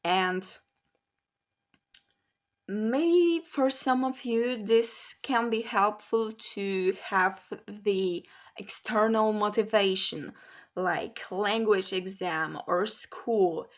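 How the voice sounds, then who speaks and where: A young woman from Poland, chatting candidly to camera about learning languages. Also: medium pitch. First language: Polish. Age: young adult. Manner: chatty, candid, slow and musing. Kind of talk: casual talk to camera.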